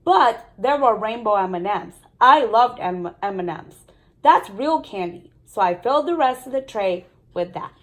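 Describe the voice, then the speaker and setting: A woman reading a children's story aloud in an expressive storytelling manner. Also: medium pitch, moderate pace. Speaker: storybook reader. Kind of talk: children's story read-aloud with brief commentary.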